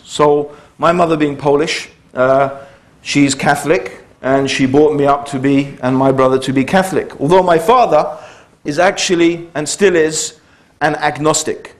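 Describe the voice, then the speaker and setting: A man's voice: on the high side, steady tone, normal speed. A man in his fifties, telling the story of his upbringing in a loose, conversational public talk, with frequent fillers and restarts. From United Kingdom.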